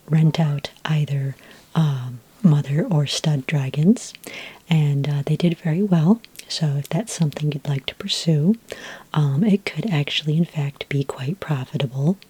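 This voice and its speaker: Helpful fantasy dragonmaster played by a woman, soft breathy whisper, hesitant ASMR delivery, close and dry recording. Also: low voice, no echo, exaggerated voice. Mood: gentle, reassuring. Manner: hesitant, unhurried explaining, frequent ums. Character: patient, helpful fantasy dragon ranch expert. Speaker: ASMR roleplayer.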